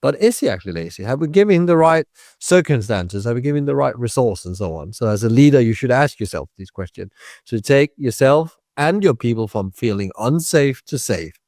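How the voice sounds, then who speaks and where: Man, podcast host, emphatic, lively, dry close sound. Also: mid-range voice, brisk, dry recording. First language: Danish. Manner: animated, emphatic, checks in with tag questions. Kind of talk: conversational interview.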